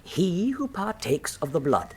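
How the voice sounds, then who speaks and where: An earnest, easily astonished gentleman doctor in a radio mystery. His voice sits rather high for a man and swings very widely, proper yet exclamatory. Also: big pitch swings, unhurried, slightly rough, full character voice. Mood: curious. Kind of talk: full-cast radio drama acting.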